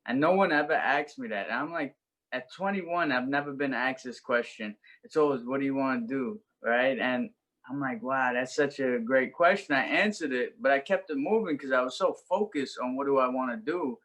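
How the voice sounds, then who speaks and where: A man's voice: mid-range voice, normal speed, fairly bright tone. Earnest young New man. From Brooklyn, New York. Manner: earnest, reflective storytelling. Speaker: guest, life coach. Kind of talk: personal story in an interview.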